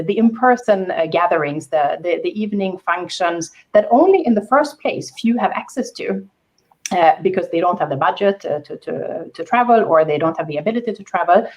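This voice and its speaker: Soft-voiced woman panelist. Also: lowish voice. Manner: thoughtful, many fillers and restarts. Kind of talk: panel discussion talk.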